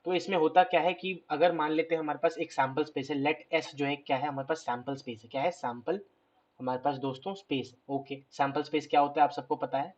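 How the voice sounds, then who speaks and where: A man's voice: fairly high pitch, steady tone, a little fast, highs cut off. A man giving a casual online math lecture, talking to viewers like friends and mixing in English phrases.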